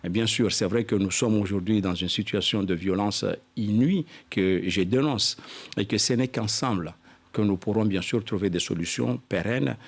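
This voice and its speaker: Male interview guest, local politician, lower-pitched voice, formal register, halting and explanatory phrasing. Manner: halting, repeats "je dirais", explanatory.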